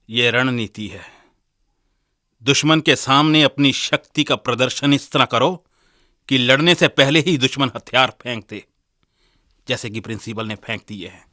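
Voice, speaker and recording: An actor playing a militant young student leader in a radio drama, sarcastic and combative. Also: male voice, mid-range voice, pushed and bright, no echo, studio quality.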